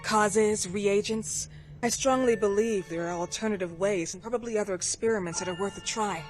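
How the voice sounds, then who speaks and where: A woman's voice: mid-range voice, moderate pace, over music, close to an everyday voice. An actress playing a poised, persistent woman doctor in a video game drama, polite, formal and inquisitive. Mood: earnest, polite.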